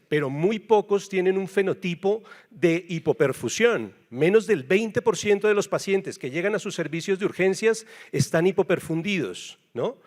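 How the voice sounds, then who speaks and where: A Colombian man lectures at a medical conference in a slightly high voice. His delivery is conversational and emphatic, and he often checks in with short tag questions. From Bogotá, Colombia. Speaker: nephrologist, conference speaker.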